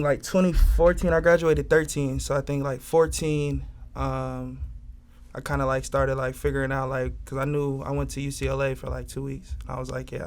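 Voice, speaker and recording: A man in his twenties from Southern California, a record label head talking in a loose, laid-back way with lots of slang and fillers. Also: medium pitch. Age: 20s. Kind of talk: casual interview talk.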